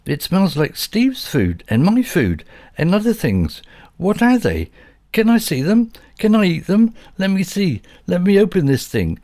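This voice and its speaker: A man doing a high yapping excitable dog. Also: a little slow, no echo, studio quality, heavily acted. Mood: curious. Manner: frantic yapping, barks aloud. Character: excitable young female pet dog.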